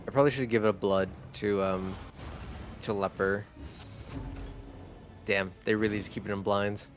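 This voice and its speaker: A man whose first language is Spanish, chatting casually as a streamer while gaming, in a rambling, relaxed way. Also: on the low side, moderate pace, soft background music. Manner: rambling, relaxed, laughs easily.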